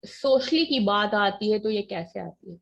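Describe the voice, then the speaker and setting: A woman teaching an online class, explaining points in a mix of Hindi and English and reading definitions slowly off a slide. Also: mid-range voice, lively intonation, vocal fry, fairly bright tone. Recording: dull lo-fi online class audio.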